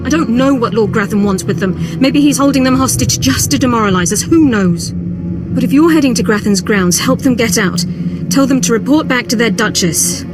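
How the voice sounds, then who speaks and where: A woman's voice: a little high, animated, moderate pace, lightly acted. A woman voicing a weary, tough, protective military officer in a video game, frank and no-nonsense. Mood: protective, candid. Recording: music under the voice.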